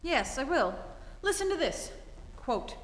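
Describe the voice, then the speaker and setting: A woman acting a headstrong, sharp-tongued society bride in a 1940s-style radio comedy, theatrical and sardonic. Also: high-pitched, sing-song, measured pace. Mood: cool, proud. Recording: echoey hall, live stage reenactment.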